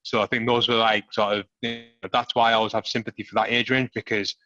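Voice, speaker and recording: A man's voice: on the low side, flat delivery, fast talker, pushed and bright, no room sound, muffled recording. A man with a Middlesbrough accent hosting a casual chat on a video call, talking earnestly and leaning on lots of filler phrases. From Middlesbrough, England. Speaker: host.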